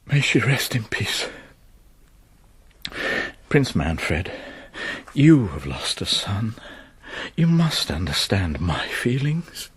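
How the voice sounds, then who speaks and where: A man's voice: fairly low pitch, big pitch swings, slow, long pauses, husky, heavily acted. An actor playing an anguished elderly monk and father who pleads in formal, theatrical speech in a gothic radio drama. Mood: grieving pleading.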